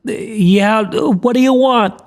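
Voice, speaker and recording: A man's voice: high voice, drawn-out words, pitched above the performer's own voice, heavily acted. A man voicing a scared but defiant twelve-year-old boy in an audio story, his teenage voice cracking and plaintive. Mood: wary.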